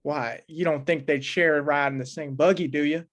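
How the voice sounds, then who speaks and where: A woman's voice: low-pitched, steady tone, normal speed, close to an everyday voice. A woman playing a touchy, guarded small-town banker in an old-time western radio drama, talking in a curt, folksy way. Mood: defensive.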